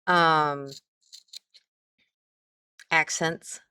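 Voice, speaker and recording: A middle-aged woman with a light Midwestern accent talks her way through a crafting tutorial, her voice low and her pace unhurried. She rambles, trails off and picks her thread back up as she works with her hands. The sound is close and dry, with no room behind her. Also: steady tone, bright, forceful tone, clean tone, no room sound. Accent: Midwestern American. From American Midwest. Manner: chatty, rambling, trails off mid-sentence. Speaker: craft instructor.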